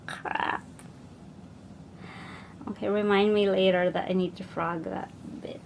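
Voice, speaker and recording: Unhurried Filipino woman, chatty stitch-along. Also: mid-range voice, flat delivery, gravelly, slight background noise. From Philippines. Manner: chatty, thinking aloud while stitching, mutters to herself. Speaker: cross-stitch vlogger. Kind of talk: casual stitch-along chat.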